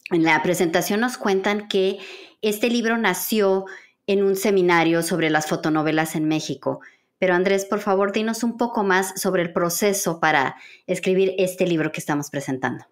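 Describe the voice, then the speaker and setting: A woman's voice: on the low side, normal speed. A woman hosting a podcast interview, presenting guests and putting prepared questions to them. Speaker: podcast host interviewing book authors.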